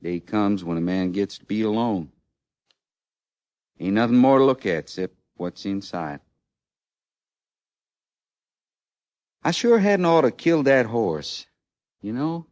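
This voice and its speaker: A doomed outlaw of the American West for a radio drama. He speaks low in a dry, unechoing space, with a rustic Western drawl. His delivery is halting, folksy and full of plain regret. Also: very animated, a little mellow, no room sound, muffled recording. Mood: sorrowful, pleading. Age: 30s. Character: condemned thirty-six-year-old frontier outlaw, rueful and plainspoken. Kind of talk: mid-century American radio western acting.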